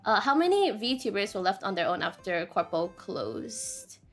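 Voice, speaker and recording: A woman's voice: mid-range voice, sing-song, a little slow, faint music behind. A woman hosting a stream, scatterbrained and laughing at herself as she mutters while hunting for viewer questions. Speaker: VTuber host reading viewer questions. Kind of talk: casual stream chat.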